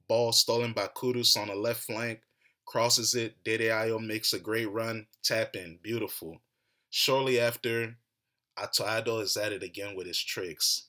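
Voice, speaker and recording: A man's voice: on the low side, pushed and bright. A young American man hosting a podcast, ranting hotly and mockingly about a soccer match. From United States. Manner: heated, mocking rants. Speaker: podcast host. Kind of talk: animated solo soccer commentary.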